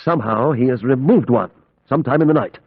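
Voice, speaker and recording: Dogged monster-hunting doctor, urgent and clipped, slightly rough voice, band-limited vintage radio. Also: male voice, mid-range voice, fast talker, phone-quality sound. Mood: grim. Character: grim, dogged male doctor on a monster hunt. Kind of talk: old-time radio drama acting.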